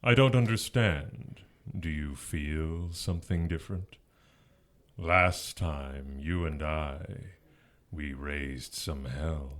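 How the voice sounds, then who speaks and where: A man's voice: extremely low pitch, slow, fairly bright tone, heavily acted. A man playing a smug, taunting, seductive fallen angel in an audio drama, with a teasing, sardonic drawl. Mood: mocking.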